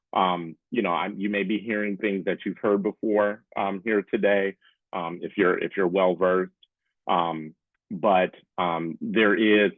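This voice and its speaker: A man presents an informal online session in a low-pitched voice. He is conversational and keeps checking that listeners follow. The sound is narrow and phone-like, close and dry, as from a video call. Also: dry recording. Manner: conversational, often checks in with "right?". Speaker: presenter, public affairs specialist. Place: small room at home, indoors. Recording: muffled phone-like webcam audio.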